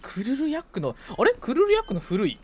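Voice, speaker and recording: A man casually chatting and reacting aloud as he plays a video game with a friend, excitable in manner. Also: extremely high pitch, a little fast. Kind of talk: casual co-op gaming chat. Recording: game music behind the voice. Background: video game music.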